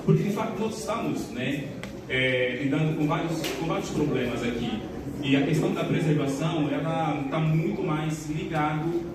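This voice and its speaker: A man from Angola with an Angolan Portuguese accent, speaking at a church community event in a measured way, with many pauses and filler words. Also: on the high side. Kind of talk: reflective talk to an audience. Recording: echoey hall, distant mic, noisy.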